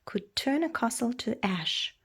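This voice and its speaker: A woman reading a children's storybook aloud in a measured, expressive storytelling way. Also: a little high, slightly rough. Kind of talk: read-aloud storybook narration.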